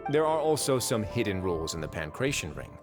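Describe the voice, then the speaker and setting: An actor playing a composed, shrewd duke of a prison fortress in a video game, measured and dryly authoritative. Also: male voice, lowish voice, sing-song. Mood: amused approval. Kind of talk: video game character acting. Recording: long reverb, faint music behind.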